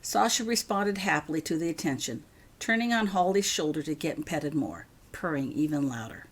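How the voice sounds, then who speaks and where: Low-pitched woman narrating a story. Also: animated, normal speed, husky. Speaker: author reading their own fan fiction. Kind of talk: scripted audiobook narration.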